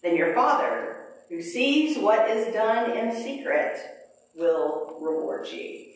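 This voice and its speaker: A middle-aged woman pastor leading a prayer and reading scripture in a measured liturgical way, at a pulpit in a large church. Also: a little high, animated. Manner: slow, measured liturgical delivery. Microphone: pulpit mic, far camera. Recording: very echoey sanctuary, distant camera.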